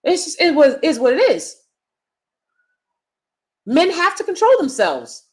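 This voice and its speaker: A woman with a loud, projecting stage voice, giving emphatic opinion commentary as a vlogger and swinging between loud points and soft asides. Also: high-pitched, very animated, unhurried.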